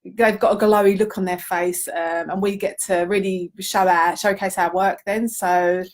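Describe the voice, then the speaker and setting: A woman's voice: mid-range voice, fairly even intonation, moderate pace. A woman co-hosting a casual question-and-answer chat as a wedding photographer, chatty and giggly in manner.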